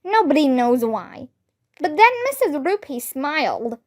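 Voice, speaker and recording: A woman reading a children's book aloud in an animated, expressive way. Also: high voice, measured pace. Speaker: storybook reader.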